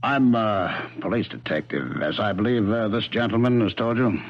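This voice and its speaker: A sardonic detective, swinging intonation. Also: male voice, lowish voice, lively intonation, normal speed. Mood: businesslike. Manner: blunt, wry. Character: sardonic, hard-headed American police detective lieutenant. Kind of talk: 1970s radio drama acting. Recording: noisy, dull 1970s radio broadcast.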